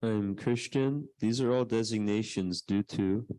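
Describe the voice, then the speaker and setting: A man's voice: fairly low pitch, unhurried, mellow, no room sound, rough recording. A man in a class who repeats each phrase of the lecture aloud.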